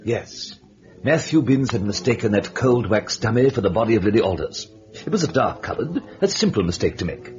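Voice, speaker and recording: An actor playing a gleeful, mocking devil who narrates an old-time radio horror tale in a theatrical, sardonic way. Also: male voice, lowish voice, lively intonation, a little fast, lightly acted. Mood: mock sympathy. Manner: theatrical, sardonic, chatty with the listener. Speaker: host and storyteller. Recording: dull lo-fi old radio recording, music behind.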